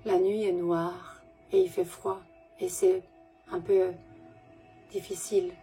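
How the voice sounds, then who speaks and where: A woman giving a gentle, soothing spiritual message to her viewers in a language that is not her first, pausing often and correcting herself. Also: lowish voice, little pitch movement, faint music behind. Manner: gentle, soothing, halting with pauses and self-corrections; speaks French as a second language. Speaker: spiritual messenger.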